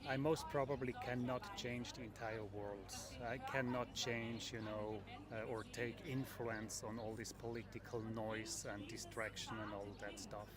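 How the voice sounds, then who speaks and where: Middle-aged Swiss man, festival interviewee, thoughtful and halting, outdoors with a loud crowd behind. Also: mid-range voice, fairly even intonation. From Switzerland. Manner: reflective, halting with many fillers. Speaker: festival participant. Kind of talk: street interview.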